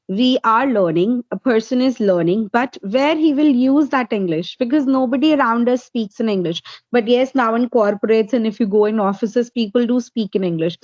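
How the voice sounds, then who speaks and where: A woman's voice: a little high, darkish tone, no echo, lo-fi sound. A woman from India, a native Hindi speaker with an Indian accent, answering interview questions as an English tutor and laying out her points one by one. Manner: explains step by step, numbering points.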